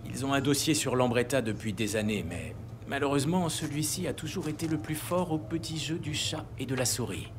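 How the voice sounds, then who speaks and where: A man acting as a courteous, composed official in a crime audio series. He has a medium-pitched voice and measured, formal phrasing, with clear background noise around him. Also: natural voice. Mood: composed, satisfied. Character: composed, courteous justice official briefing a police captain.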